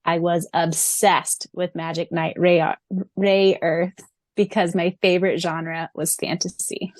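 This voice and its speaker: A woman's voice: fairly low pitch, little pitch movement, dry recording. A woman co-hosting a casual fan podcast, talking with gushing enthusiasm. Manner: enthusiastic, gushing, quick asides. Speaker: podcast co-host.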